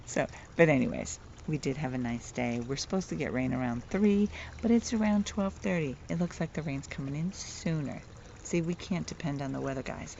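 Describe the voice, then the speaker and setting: A middle-aged woman with a soft voice, telling a playful, chatty vlog story outdoors and laughing at her own jokes. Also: sits low, vocal fry, slight background noise. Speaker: vlogger. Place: outdoors, near the water. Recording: dull lo-fi outdoor recording.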